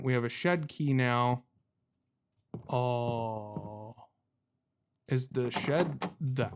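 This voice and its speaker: A man's voice: medium pitch, a little hiss or hum. A man giving casual let's-play commentary, thinking aloud in goofy mock-swearing slang and sometimes reading game notes out. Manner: thinks aloud while playing. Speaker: gaming YouTuber.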